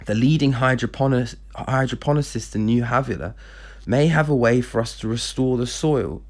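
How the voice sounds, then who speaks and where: A man voicing a stern, evasive older president and father in a story, firm, measured and dismissive. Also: medium pitch, natural voice.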